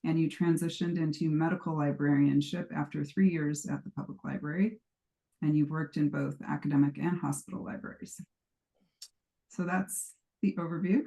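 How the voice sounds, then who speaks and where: Low-voiced woman moderating a panel. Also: dark tone, low-quality recording. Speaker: panel moderator, library science student. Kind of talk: panel moderating, reading out introductions and questions.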